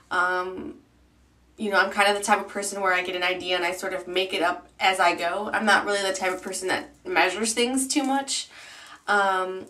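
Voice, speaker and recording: A bright-toned woman in her twenties talks casually to her camera, filling pauses with ums and likes as she rambles along. Her voice sits at an ordinary pitch. The camera mic is a little distant, so she sounds slightly far off. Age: 20s. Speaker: YouTube beauty vlogger. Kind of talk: casual vlog chat.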